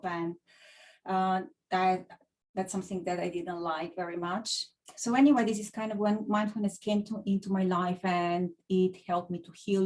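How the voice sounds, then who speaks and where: A woman's voice: medium pitch, a little mellow, low-quality recording. Bulgarian-accented woman, chatty. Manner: chatty, with frequent fillers and restarts. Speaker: guest speaker. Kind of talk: online talk. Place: home video call. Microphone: computer mic on a video call.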